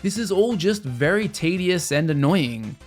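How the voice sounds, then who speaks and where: A man with a slightly high voice narrates a scripted video game review. He explains things in a measured way and gives his opinions plainly. Music plays faintly under his voice. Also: expressive.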